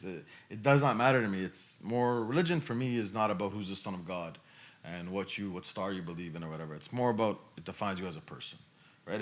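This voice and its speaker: A man in his thirties talking casually as a podcast host, emphatic and given to repeating his phrases. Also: sits low. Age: 30s. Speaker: podcast host answering questions. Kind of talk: casual conversational talk.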